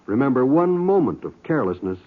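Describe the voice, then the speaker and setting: A man's voice: medium pitch, sing-song, brisk, narrow, tinny audio. A man reading scripted lines as an announcer in 1950s radio style. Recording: thin, husky-sounding old radio recording.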